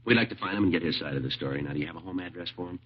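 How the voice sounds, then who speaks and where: An actor playing a no-nonsense, deadpan police detective in a 1950s radio crime drama, speaking in clipped, matter-of-fact lines. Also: male voice, deep voice, rapid-fire, husky. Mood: businesslike, calm. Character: no-nonsense male police detective, clipped, unemotional, dryly sardonic. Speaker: narrator and lead detective. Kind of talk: 1950s radio police drama acting, terse report-like narration. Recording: muffled old 1950s radio recording.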